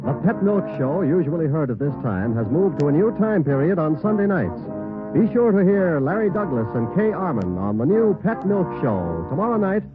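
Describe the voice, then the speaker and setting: A man's voice: fairly high pitch, big pitch swings, normal speed, narrow, tinny audio. A man delivering scripted promotional announcements as a 1950s network radio announcer. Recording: band-limited old radio recording, loud music.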